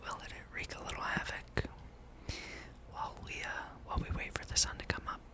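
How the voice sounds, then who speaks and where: A person speaking in a breathy, unvoiced whisper, giving rambling, playful ASMR commentary while playing a video game. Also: brisk. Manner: hushed, playful musing, reads game dialogue aloud. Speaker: gameplay streamer.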